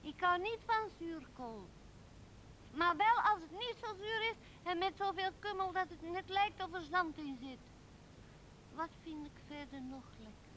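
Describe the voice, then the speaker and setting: A woman's voice: extremely high pitch, sharp tone, full character voice. A woman playing a naive, fussy little girl in a radio drama, speaking in a childlike recital. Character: little girl, plain-spoken and fussy about food. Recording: noisy old radio recording.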